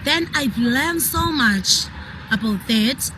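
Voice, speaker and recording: A woman's voice: on the high side, animated, slow, heavy background noise. A woman with a South African accent, a teacher giving a simple, heartfelt video testimonial.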